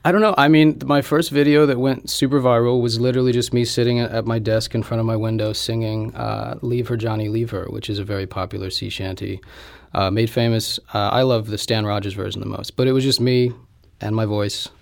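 A young American man with a fairly low voice that often drops into gravelly fry. He speaks casually, as an interview guest answering questions about himself. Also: steady tone, a little fast. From Indianapolis, United States.

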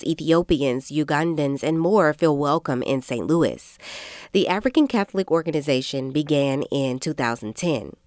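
Low-voiced woman radio reporter. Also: no echo, clean studio sound. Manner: measured, even reporting delivery. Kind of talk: scripted news narration.

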